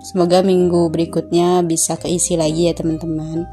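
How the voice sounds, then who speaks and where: A young adult woman, hoarse from a cough, giving a chatty voice-over for her personal finance vlog with frequent "eh" fillers. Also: fairly low pitch, flat delivery, normal speed, mellow, faint music behind. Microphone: home voice-over mic.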